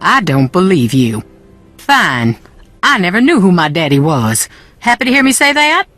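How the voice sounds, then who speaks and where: A woman's voice: lowish voice, big pitch swings, moderate pace, fairly bright tone, no room sound, faint music behind. A woman playing a troubled, haughty woman in a video game, brittle and curt, with a Southern US accent. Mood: defensive, wounded.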